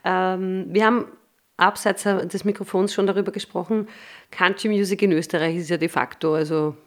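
A woman's voice: on the low side, fairly even intonation, fairly quick. A woman hosting a podcast interview, friendly and affirming with frequent laughter. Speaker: podcast host.

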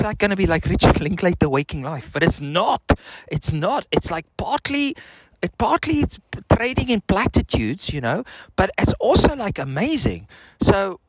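Rambling South African man, lively pitch. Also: moderate pace, hardly any pauses, noisy background. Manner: profane, self-mocking, meandering. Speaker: video message maker. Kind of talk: rambling casual monologue. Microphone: handheld recorder.

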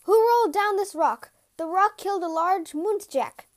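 An angry farmer out for revenge in a storybook fable, played by a woman narrator. The grieving father calls out in a loud, stern, high-pitched voice. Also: normal speed. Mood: loud, demanding.

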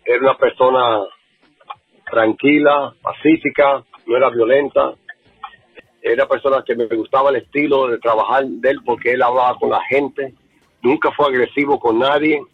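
A man from the Dominican Republic talks earnestly with a husky, breathy voice. His sound is dry and close but comes through a dull, muffled line. He often repeats a word while he searches for the next one. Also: medium pitch, fairly even intonation, moderate pace, no room sound. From Dominican Republic, raised in Puerto Rico. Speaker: interviewee, bar owner. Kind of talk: remote interview.

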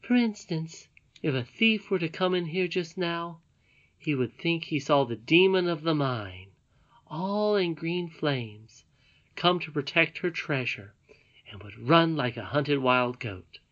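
Mom reading a wise ageless lady, slow and patient, kinda muffled recording. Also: deep voice, animated, voice pushed up. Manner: measured, patient. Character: ageless wise grandmother lady, calm and gently stern. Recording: dull lo-fi recording.

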